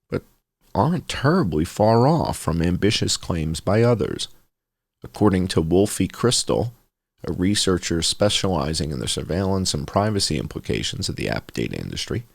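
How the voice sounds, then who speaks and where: A man reading a journalistic article aloud as scripted narration, with measured, deliberate phrasing and stretched words for emphasis. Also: low voice, creaky, no room sound.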